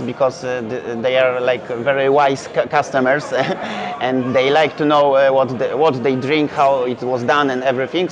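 A young man with a Polish accent, a native Polish speaker, answering interview questions in English with many fillers and restarts. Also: medium pitch. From Poland. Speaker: brewery founder. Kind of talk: festival interview. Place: indoor beer festival hall. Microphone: lapel mic. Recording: dull lo-fi sound with festival crowd chatter.

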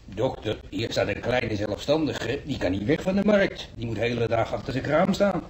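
Actor as grumbling market trader, medium pitch. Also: male voice, animated, a little hiss or hum, close to an everyday voice. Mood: weary, grumbling. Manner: colloquial, halting, plaintive. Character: grumbling, folksy male market trader in hospital. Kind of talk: Dutch radio drama acting.

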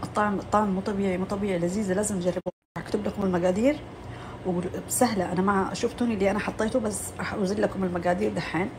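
Tired-voiced woman, quick and gushing. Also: mid-range voice, steady tone, background noise. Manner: gushing, repeats words for emphasis. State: tired voice, coughing. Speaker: cooking vlogger. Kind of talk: casual chat to followers. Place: home kitchen, indoors.

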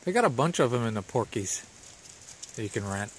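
A man's voice: medium pitch, big pitch swings, fairly quick. A man casually narrating a rainy fall hike and campground tour, with offhand, wry asides. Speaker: travel vlogger. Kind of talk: casual narration while hiking and driving. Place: outdoors on forest trails and a campground in the rain. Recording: noisy outdoor camera audio.

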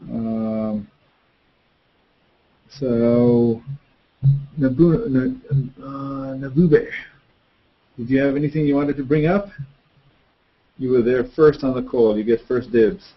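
A man's voice: mid-range voice, fairly even intonation, slow, long pauses. A man moderating an online course Q&A from an office, hesitant with many fillers. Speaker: course instructor hosting a video call. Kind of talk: casual online Q&A. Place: office with painting on wall. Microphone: webcam mic on video call. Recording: muffled video-call audio.

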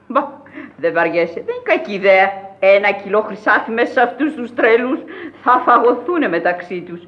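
A woman's voice: medium pitch, expressive, lightly acted. Woman playing an imperious old countess, dull vintage recording. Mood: musing, satisfied. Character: imperious eccentric old countess, motherly and commanding. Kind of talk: vintage Greek radio theatre acting. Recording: dull vintage radio recording, music behind.

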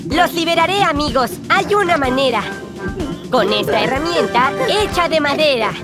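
A woman's voice: high voice, expressive, normal speed, loud music behind the voice. A woman playing a cheerful, helpful gecko mechanic in a children's cartoon, exclaiming and explaining things to young viewers. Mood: excited, urgent. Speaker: cartoon lead and narrator. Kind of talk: animated children's show dialogue dubbed in Spanish.

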